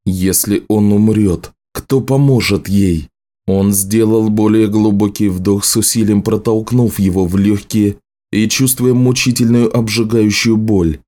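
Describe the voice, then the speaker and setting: A man reading a novel aloud as an audiobook narrator, in a measured, serious storytelling manner. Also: on the low side, steady tone, dark tone. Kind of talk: scripted literary narration.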